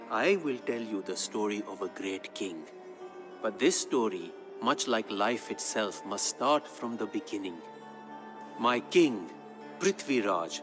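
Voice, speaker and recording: An actor playing a court poet who solemnly tells his king's legend in a measured, grave, dramatic storytelling style for a game. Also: on the low side. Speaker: narrator. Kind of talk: dramatic scripted storytelling narration. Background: background music.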